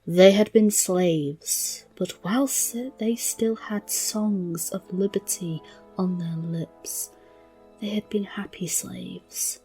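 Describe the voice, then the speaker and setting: A woman narrating a scripted fairy tale in a breathy, half-whispered, hushed and deliberate voice. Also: mid-range voice, steady tone. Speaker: storyteller, narrator. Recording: narration over background music.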